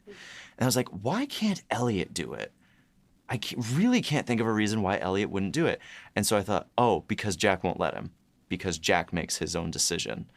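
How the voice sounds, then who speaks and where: A man's voice: fairly low pitch, a bit bright, no room sound. A man co-hosting a podcast, chatting casually about writing in a hesitant, rambling way, often repeating words as he thinks. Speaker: writer and co-host of a behind-the-scenes podcast. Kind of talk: casual chat, reflecting on writing.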